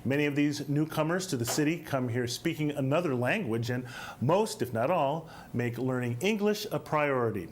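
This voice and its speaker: A man hosting a studio interview show, introducing guests and asking questions in a measured way with frequent fillers. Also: medium pitch, expressive, a little hiss or hum. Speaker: TV talk show host.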